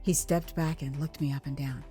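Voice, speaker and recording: An American woman narrating her own dramatized memoir in a theatrical, expressive way, savoring her phrases. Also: low voice, moderate pace, faint music behind. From Texas, United States. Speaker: author and narrator.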